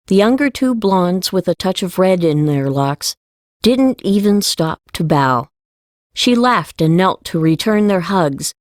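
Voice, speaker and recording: Low-pitched woman narrating a story. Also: no echo, clean studio sound. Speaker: audiobook narrator. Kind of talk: scripted fantasy storytelling narration.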